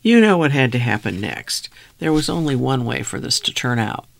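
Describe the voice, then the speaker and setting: A man reading a first-person memoir aloud, then reading out descriptions of old photographs in a steady, measured way. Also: mid-range voice, gravelly, dry recording. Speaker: reader.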